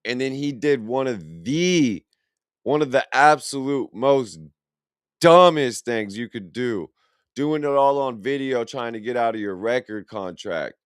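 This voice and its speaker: A man's voice: mid-range voice, lively intonation, unhurried, clean tone, dry recording, clean studio sound. A young man, reacting to a video in blunt, profane, rambling casual commentary. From Illinois, USA. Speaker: reaction streamer.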